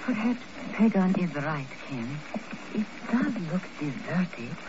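A woman playing a poised, duplicitous, coolly calculating spy in a 1950 radio drama, with dramatic, deliberate, formal phrasing. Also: on the low side, very animated. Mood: intent. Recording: muffled, noisy old radio broadcast.

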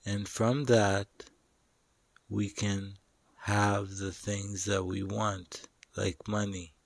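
Very slow male meditation guide. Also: fairly low pitch, level tone, vocal fry, dry recording. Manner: slow, deliberate, short phrases with long pauses.

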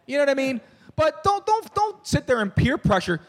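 High-voiced excitable male podcast host. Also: fairly quick. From Philadelphia area. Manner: animated, stammering restarts, mock play-by-play calls. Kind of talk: casual sports chat.

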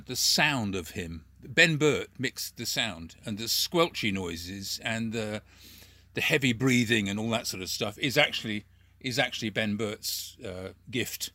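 A man in a casual interview, talking quickly and emphatically with some restarts. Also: mid-range voice, bright, forceful tone, no room sound.

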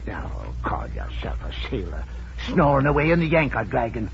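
A man's voice: medium pitch, very animated, moderate pace, gravelly. An older man playing a cantankerous, boastful old seafaring father with crotchety rustic speech, in 1940s radio style. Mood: boastful, grumbling. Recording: muffled, hissy 1940s radio recording.

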